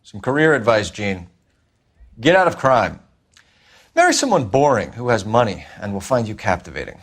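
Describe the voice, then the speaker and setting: A man's voice: fairly low pitch, sing-song, normal speed. An actor playing a stern, relentless police detective in a video game, clipped and formal in his pressing questions. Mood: cold, threatening. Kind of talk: video game drama acting.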